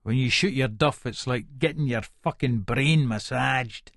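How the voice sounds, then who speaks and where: A man's voice: mid-range voice, unhurried, fairly bright tone, no echo, studio quality. An actor with an Edinburgh Scottish accent playing a violent, volatile hard man who narrates an audiobook in the first person, sweary and aggressive. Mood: irritable, wary. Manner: aggressive, menacing, sweary, colloquial.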